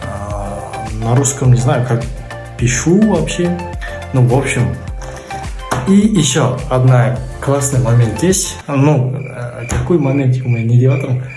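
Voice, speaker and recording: Halting man vlogging. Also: medium pitch, expressive, over music. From Kazakhstan. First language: Kazakh. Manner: halting, searching for words, many fillers. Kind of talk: casual vlog talk.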